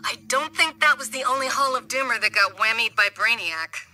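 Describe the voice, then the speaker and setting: A woman playing a brisk, informal ally who gives mission updates in a video game. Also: on the high side, animated, bright, forceful tone. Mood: alert, brisk. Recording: distant voice with faint music.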